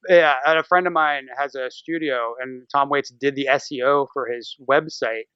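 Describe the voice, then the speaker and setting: An American man chatting casually as a podcast guest, with an animated, irreverent manner, prone to swearing and acting out little quotes. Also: mid-range voice, moderate pace, clean tone, dry recording, dull audio. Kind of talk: casual interview chat.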